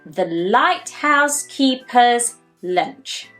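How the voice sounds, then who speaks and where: A woman hosting a children's story time, reading aloud in a deliberate, teacherly way and putting questions to young listeners. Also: fairly high pitch, lively intonation, a bit bright, faint music behind.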